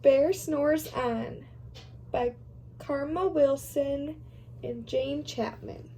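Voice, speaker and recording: A woman's voice: high-pitched, drawn-out words, mellow, a little hiss or hum, rough recording. A woman reading a picture storybook aloud for young children.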